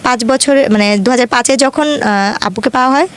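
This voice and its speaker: A woman's voice: fairly high pitch, fairly quick. A woman in her twenties from Dhaka with a Bangladeshi accent, telling her life story in an earnest, colloquial way as an interview guest. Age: 20s.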